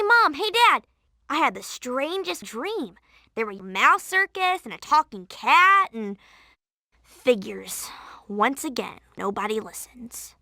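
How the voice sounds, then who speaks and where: An actress playing a spunky, curious, sarcastic young girl in a video game, perky and expressive. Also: on the high side, no echo, studio quality, full character voice. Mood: sarcastic, grumbling. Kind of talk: video game character acting.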